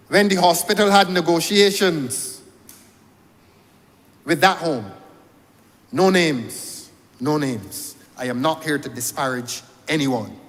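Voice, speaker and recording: High-voiced Barbadian man orating in parliament. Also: a bit bright, big-room sound. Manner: rhetorical, repeats key phrases for emphasis. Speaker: member of parliament. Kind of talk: parliamentary debate speech. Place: parliament chamber.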